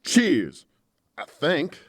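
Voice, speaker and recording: Man playing a lively, streetwise hired tough. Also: a little high, extremely slow, no echo, studio quality, full character voice. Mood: wary, rattled. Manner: streetwise, blunt, slangy. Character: muscular, foul-mouthed, wary male hired torturer. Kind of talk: audiobook character acting.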